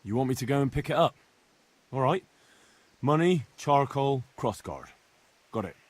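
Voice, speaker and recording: An actor playing a wry, easygoing young blacksmith's son in a game cutscene, with a slightly husky voice. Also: male voice, medium pitch, lively intonation, normal speed, close to an everyday voice. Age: young adult. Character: cheeky young village lad, easygoing. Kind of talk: video game cutscene acting.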